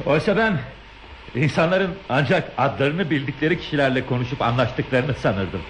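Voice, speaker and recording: A male actor playing a lonely, tender railway man in a radio play, speaking politely in a halting, earnest, theatrical way. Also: medium pitch, lively intonation, slight background noise, close to an everyday voice, rough recording. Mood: wistful.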